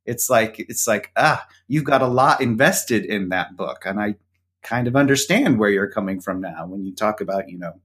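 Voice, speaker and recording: A man's voice: fairly low pitch, expressive, moderate pace, a bit bright. A man talking as a guest author in a casual interview, hesitant and full of fillers. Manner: hesitant, many fillers and restarts.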